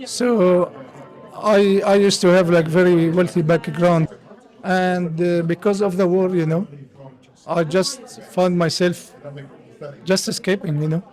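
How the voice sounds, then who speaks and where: A man with a Syrian accent giving personal testimony in halting English. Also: sits high, fairly even intonation, a little mellow, low-quality recording. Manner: halting, frequent "you know". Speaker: interviewee, asylum seeker.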